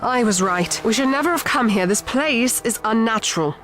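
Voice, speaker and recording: A woman's voice: fairly high pitch, lively intonation, moderate pace, fairly bright tone, soft background music. A woman playing a wary, superstitious but practical boatwoman from an ancient land in an audio drama, earnest and apprehensive. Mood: cautious.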